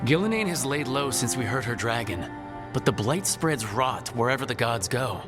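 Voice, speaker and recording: An earnest video game leader, played by a man, with a mid-low everyday voice. He is steady, direct and composed, with music clearly audible behind. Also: moderate pace, close to an everyday voice. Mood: businesslike. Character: earnest, steady young male team leader. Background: game score.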